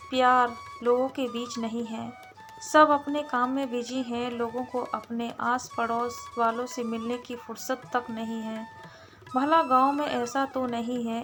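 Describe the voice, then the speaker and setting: A woman narrating a scripted story in a measured way, reading any dialogue in her own voice. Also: a little high, a bit bright. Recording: music behind the voice. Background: background music.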